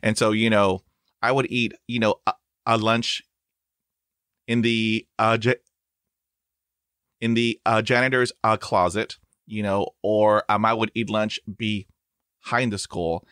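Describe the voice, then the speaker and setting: A man's voice: fairly low pitch, fairly even intonation, slow pace, long pauses, a bit bright, clean tone, no room sound, studio quality. A man in his forties who stutters, hosting a podcast in a casual, emphatic interview chat. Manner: stutters, with repeated sounds and fillers; emphatic, self-affirming. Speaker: podcast host.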